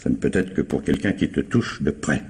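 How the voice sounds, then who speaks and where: A man acting a calm, wry, middle-aged police detective in a radio crime drama, measured and probing with hesitant pauses. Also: extremely low pitch, slight background noise.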